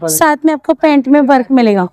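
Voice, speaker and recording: A woman's voice: high-pitched, fairly quick, warm tone, no room sound. A young woman vlogging at an outdoor market, chatting through prices and fabrics and asking shopkeepers. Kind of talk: walking market vlog showing clothes and prices. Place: busy outdoor street market.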